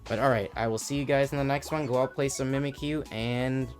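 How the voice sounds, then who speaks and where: A man hosts his gaming channel in a loose, rambling tone, his voice going a bit hoarse. Music plays clearly behind him while he talks at a middling pitch. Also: brisk. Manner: relaxed, loose, lots of filler "like" and restarts. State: losing his voice. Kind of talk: casual game commentary. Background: background music.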